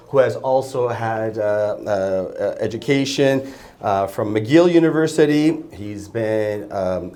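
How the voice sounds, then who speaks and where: A man hosting a studio interview show, opening formally and interjecting with frequent hesitations and quick affirmations. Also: medium pitch, a little mellow. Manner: formal introductions, frequent "uh" and "excellent". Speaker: show host.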